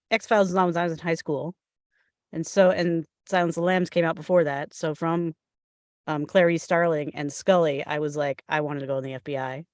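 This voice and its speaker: Woman, forties, slightly low voice, chatty storyteller, casual group talk, dry sound. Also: no room sound. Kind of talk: casual group chat about television.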